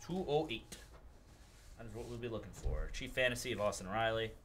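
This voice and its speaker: A man's voice: on the low side, animated, brisk, very noisy. A young man hosting a live card break, rattling off player names and chatting in a studio. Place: studio with table, indoors.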